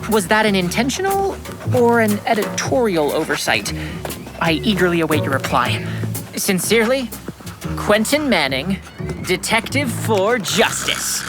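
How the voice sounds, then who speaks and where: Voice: mid-range voice, very animated, a little slow. Self-important little boy detective, super formal and precise, radio play with music behind. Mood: formal, self-assured. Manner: pompous, formal, precise diction. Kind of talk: radio drama acting and first-person narration. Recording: music under the voice.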